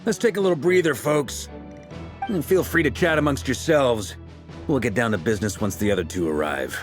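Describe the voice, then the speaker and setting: A genial knight commander, voiced by a man, relaxed and joking as he welcomes people. His voice sits at an ordinary middle pitch. Faint game music plays underneath. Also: brisk, close to an everyday voice. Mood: easygoing. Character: genial male knight commander, easygoing leader. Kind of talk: video game dialogue. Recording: game audio with soft music behind.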